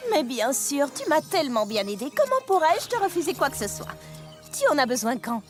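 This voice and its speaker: A woman's voice: high voice, very animated, brisk, soft background music. A woman voicing an elegant, kindly dramatic big-sister pony in a French cartoon dub, with refined, theatrical diction. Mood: indulgent.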